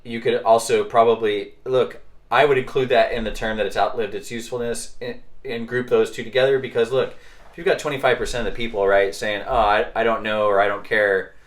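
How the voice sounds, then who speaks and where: A man's voice: fairly low pitch, fairly bright tone. Podcast host, male, casual talker with rhetorical questions and fillers. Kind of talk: casual discussion.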